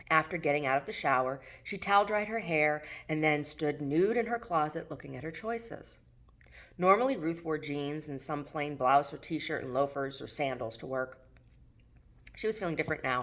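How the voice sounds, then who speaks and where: Low-voiced woman reading a novel. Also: a bit bright. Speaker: author reading own novel aloud. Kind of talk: audiobook narration of fiction.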